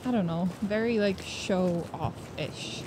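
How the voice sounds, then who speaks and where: A woman's voice: mid-range voice, slow pace, noisy background. A young woman outdoors, speaking in a dry, teasing way away from the camera. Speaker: companion.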